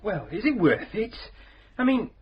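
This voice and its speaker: An actor playing a chatty young detective sergeant in a radio crime drama. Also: male voice, sits high, measured pace. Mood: grumbling. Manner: chatty, lively and informal. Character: young detective sergeant, plain-spoken and restless. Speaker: sergeant to the inspector. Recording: slightly dull radio recording, faint hiss.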